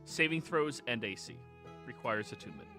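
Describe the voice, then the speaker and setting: A man speaking casually and haltingly as he improvises as game master of a tabletop fantasy game. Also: mid-range voice, sing-song, sharp tone. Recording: music playing behind the voice.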